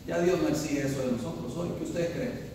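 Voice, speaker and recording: High-voiced preacher in an echoey hall. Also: male voice, brisk, far from the mic, background noise. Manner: preaching with rising emphasis, asks the congregation questions. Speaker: pastor. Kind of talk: sermon.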